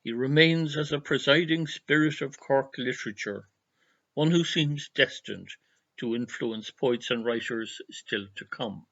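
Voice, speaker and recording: An Irish man presenting a scripted tribute in a measured, formal, literary manner. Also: medium pitch, a bit bright, highs cut off. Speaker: presenter giving an introduction.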